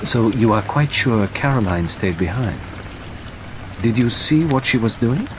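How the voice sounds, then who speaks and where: Man playing a commanding detective, measured, polite, animated intonation, rain behind. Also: mid-range voice, lightly acted. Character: polite, authoritative male detective. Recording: steady rain noise behind.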